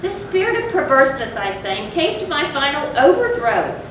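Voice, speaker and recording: A woman playing a tormented, confessing animal lover turned cruel drunkard, in a deliberate, formal, theatrical reading of a gothic horror story. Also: sits high, big pitch swings. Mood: remorseful, brooding. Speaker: readers theatre performer. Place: large echoey room, far from the mic. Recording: very echoey, distant, noisy live hall recording.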